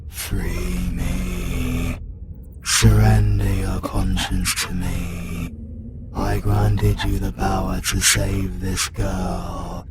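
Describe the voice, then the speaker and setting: An ancient demon god, put on by a British man, speaks in a deep, gravelly voice and drags every word out slowly. He sounds cold and commanding, as if the world already belongs to him. In this fantasy audio roleplay, loud ambient noise runs behind him. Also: flat delivery, loud music behind the voice, exaggerated voice. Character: ancient male demon god possessing a hunter, menacing.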